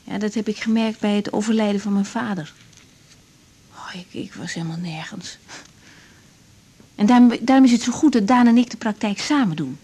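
An actress playing an idealistic young doctor in a radio drama, speaking candidly with reflective hesitations. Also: female voice, mid-range voice, moderate pace, pauses often, dark tone, slightly rough, close to an everyday voice. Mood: pensive. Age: young adult. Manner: hesitant, reflective, self-searching. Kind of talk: naturalistic radio drama dialogue.

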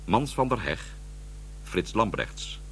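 A very deep male announcer reads scripted broadcast credits with lively intonation. His accent is Dutch, and a faint hum sits under the voice. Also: slow. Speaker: announcer reading closing credits.

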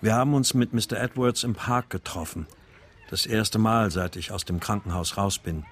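A man's voice: fairly low pitch, moderate pace, lightly acted. An actor narrating a radio crime drama in the first person as a grave father recounting his own ordeal. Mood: reflective.

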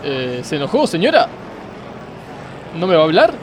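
An actor playing a self-pitying, pompous judge with an Argentine accent in a comic radio play. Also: male voice, a little high, wildly swooping pitch, moderate pace, a crowd in the background. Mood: sheepish, grumbling. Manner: whiny, pompous, speechifying. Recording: faint vehicle and street noise behind.

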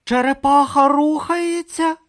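A man voicing a slow-thinking, timid young male priest in a storybook reading. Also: very high voice, fairly even intonation, fairly bright tone, voice pushed up.

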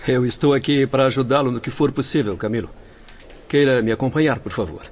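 A man's voice: mid-range voice, fast talker, darkish tone, close to an everyday voice. Radio drama doctor male actor, courteous, formal, mid-range voice, slightly muffled audio. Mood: caring. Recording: muffled audio, faint background noise.

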